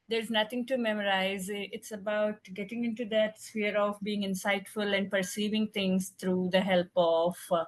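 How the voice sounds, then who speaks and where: A woman English teacher speaking eagerly and earnestly on a video call. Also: mid-range voice.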